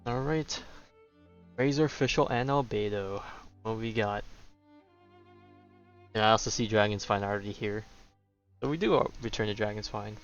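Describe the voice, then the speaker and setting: A man's voice: on the low side, normal speed, long pauses, dull audio, faint music behind. A man doing casual commentary as he plays a game, chatting and thinking aloud as a streamer. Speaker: gaming streamer.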